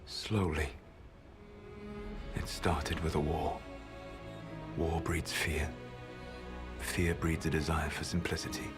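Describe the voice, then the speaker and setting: A deep, grave ancient elf mage. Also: male voice, flat delivery. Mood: solemn. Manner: measured, grave, quietly regretful. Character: ancient male elf mage, calm, secretive, proud. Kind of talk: video game voice acting. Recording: game audio with music score.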